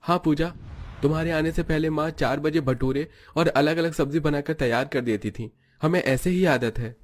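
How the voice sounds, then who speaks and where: A man's voice: fairly high pitch, moderate pace, voice pushed up, close to an everyday voice. A man voicing a mild, dutiful young husband in a cartoon story. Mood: sheepish.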